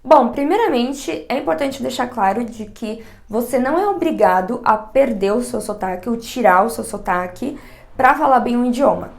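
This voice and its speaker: A young woman speaks with a São Paulo accent, her voice sitting somewhat high. She talks frankly and chattily straight to camera, punching her points with emphatic asides. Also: expressive, normal speed, vocal fry. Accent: São Paulo Portuguese. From Brazil, São Paulo. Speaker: vlogger. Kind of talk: casual direct-to-camera vlog.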